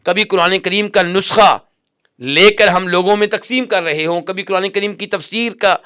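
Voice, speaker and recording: A man's voice: high voice, bright, forceful tone, clear, resonant tone. A man delivering emphatic religious exhortation in parallel, repeated phrases.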